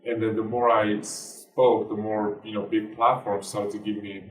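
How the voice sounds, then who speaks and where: Turkish-accented man, deep and distant. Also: flat delivery. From Turkey. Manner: earnest, halting, many fillers and broken grammar. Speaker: guest, basketball player and activist. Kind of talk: interview answers. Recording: roomy, distant from the mic.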